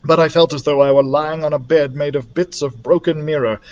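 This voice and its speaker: A man reading a children's adventure story aloud as a library storyteller, in an expressive narrating style. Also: on the high side, a little fast, muffled recording.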